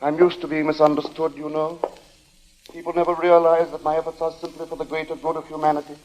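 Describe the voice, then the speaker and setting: An actor playing a pious, brooding, sinister lodger in old-time radio suspense, speaking in formal, measured sermonizing tones. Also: male voice, a little high, completely flat delivery, a little mellow, lightly acted. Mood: wounded, fervent. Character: soft-spoken, sinister, pious male lodger, righteous and brooding. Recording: muffled old radio recording with music.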